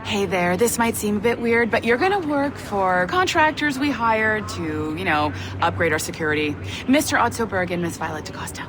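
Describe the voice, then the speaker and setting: An actress playing a chipper, overachieving office manager in a video game, with a perky pep-talk manner. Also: female voice, mid-range voice, animated, moderate pace, background noise, lightly acted. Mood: forced cheer.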